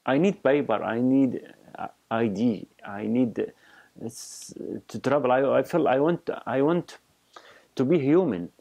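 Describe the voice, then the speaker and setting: Man in his forties, slow halting testimony, gravelly dark voice, lapel mic, soft music behind. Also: medium pitch. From Iraq. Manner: slow, halting speech with many pauses. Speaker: interviewee. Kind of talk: personal testimony interview. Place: indoor office with bookshelves.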